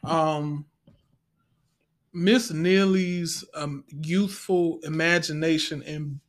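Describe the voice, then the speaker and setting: A woman's voice: low-pitched, fairly even intonation, extremely slow. An American woman speaking in a casual interview style as a podcast guest. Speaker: podcast guest, stage play actress.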